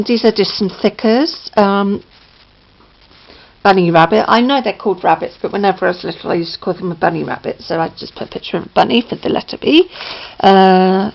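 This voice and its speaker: A woman with a slightly croaky voice, chatting casually at home as she shows her craft book, with lots of ums and self-corrections. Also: medium pitch, muffled recording. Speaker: crafting vlogger. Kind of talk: casual show-and-tell. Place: indoors at home, downstairs near a patio.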